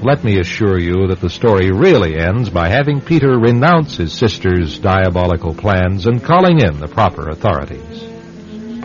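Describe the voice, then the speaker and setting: A man hosting a radio anthology, giving scripted, theatrical narration in a wry, sardonic manner. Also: fairly low pitch. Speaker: radio anthology host and announcer. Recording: dull vintage radio recording over music.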